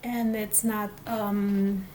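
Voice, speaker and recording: A woman's voice: medium pitch, flat delivery, extremely slow, dark tone, slight background noise. A woman whose first language is Portuguese, chatting casually to camera about her reading plans, hesitant, with many fillers and restarts. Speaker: book vlogger.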